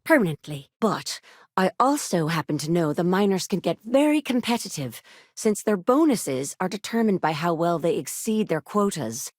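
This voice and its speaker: An actress voicing a weary, sardonic clinic doctor in a video game, with a British or Irish accent and brisk, colloquial bedside patter. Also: female voice, lowish voice, sing-song, darkish tone, dry recording, studio quality. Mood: clinical. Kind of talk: scripted game dialogue.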